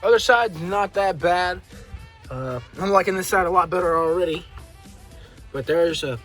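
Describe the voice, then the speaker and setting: A man's voice: sits high, moderate pace, a bit bright, soft background music. An American man hosting a vlog, talking in a casual, folksy, hands-on way as he narrates what he is doing. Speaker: vlog host. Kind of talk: casual car-project vlog chat.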